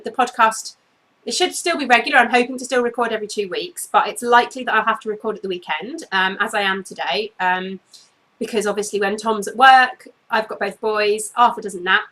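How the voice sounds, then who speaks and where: Chatty, bright-voiced woman podcaster, sniffly. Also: medium pitch, a little fast, rough recording. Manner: chatty, apologetic, goes off on tangents with many ums. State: a bit sniffly. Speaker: knitting podcast host. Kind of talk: casual video podcast chat. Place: bedroom at home, indoors.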